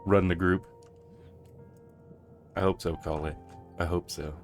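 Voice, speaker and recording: A low, soft-spoken game streamer talks casually through play. The asides ramble hesitantly, with faint music underneath. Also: fairly even intonation, measured pace. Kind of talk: soft casual gameplay commentary.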